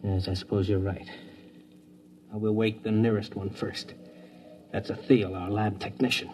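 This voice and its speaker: A man's voice: lowish voice, little pitch movement, moderate pace, a little mellow, lightly acted. A man playing a sober, challenging colonial executive in an old-time radio science fiction drama, level-headed and authoritative. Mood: gentle, concerned. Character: sober, skeptical male colonial executive in command. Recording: noisy vintage radio drama recording.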